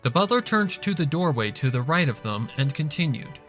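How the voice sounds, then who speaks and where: A man narrates a scripted fantasy story. His voice sits a little high for a male reader, with faint music playing behind the narration. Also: big pitch swings, a little fast, a bit bright. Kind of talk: scripted story narration, fan fiction reading.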